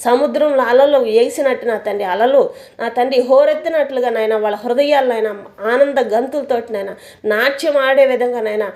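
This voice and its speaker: A woman prays aloud in a fervent, pleading stream of repeated invocations. Her voice sits somewhat high yet sounds mellow and warm. She presses on at a rapid clip, with hardly a pause between phrases. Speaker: prayer leader.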